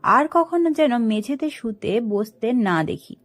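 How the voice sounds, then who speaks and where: A woman's voice: fairly high pitch, expressive, takes it slowly. A woman voicing a worried, scolding mother of a grown son in an audio story, full of fussing questions. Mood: stern warning.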